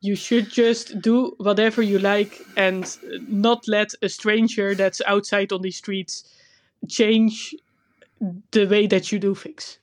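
A woman with a Dutch accent co-hosting a casual podcast, telling a story in a relaxed, self-conscious way with frequent laughs. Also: mid-range voice, slow. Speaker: podcast co-host. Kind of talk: casual chat.

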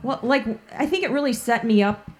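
A woman's voice: a little high, fairly quick, darkish tone. A woman blues guitarist and singer from Chicago, chatting in an interview and filling her talk with "like" and "you know.". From Chicago, USA. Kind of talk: casual interview chat.

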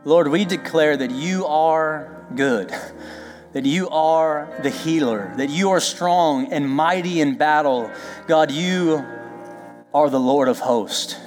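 A male pastor preaching a lively, joking sermon, then leading prayer and worship while losing his voice. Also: fairly high pitch, slow, long reverb tail, soft background music. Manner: lively, joking, emphatic preaching with call-and-response asides. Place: church sanctuary.

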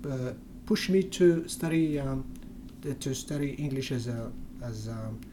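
A man's voice: medium pitch, measured pace, background noise. A young Moroccan man whose first language is Berber, speaking English in an interview, hesitant, with many fillers and restarts. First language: Berber (Tamazight). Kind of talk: conversational interview.

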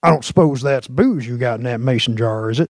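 A man voicing a big, brash, aggressive armed cop who shouts commands in an audiobook story. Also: medium pitch, fairly quick, no echo, clean studio sound, pitched above the performer's own voice, natural voice. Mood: commanding.

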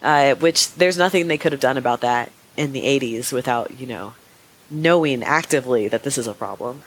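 A young woman co-hosting a podcast, sick and raspy, chatting casually and rambling with lots of fillers. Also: extremely low pitch, normal speed. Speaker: podcast co-host.

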